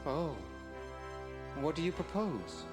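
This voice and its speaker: A woman's voice: deep voice, animated, slow pace, music in the background. A woman playing an earnest, naive seventeen-year-old boy in an adventure game, with a wondering, hesitant manner. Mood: curious.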